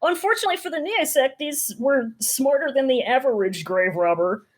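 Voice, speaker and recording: A woman's voice: high-pitched, animated, brisk. A woman talks casually as a podcast co-host. She is irreverent and slangy, recapping a story in a loose, conversational way and swearing freely. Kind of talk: casual book recap chat.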